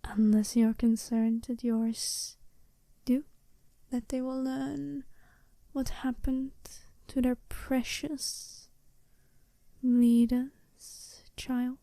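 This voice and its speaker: Seductive female werewolf bride for ASMR roleplay, played by a woman: self-assured, teasing, breathy and soft, higher-pitched but very mellow, unhurried. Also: level tone, no echo. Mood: teasing, confident. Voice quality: soft-spoken, breathy half-whisper. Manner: slow, teasing, deliberate, intimate.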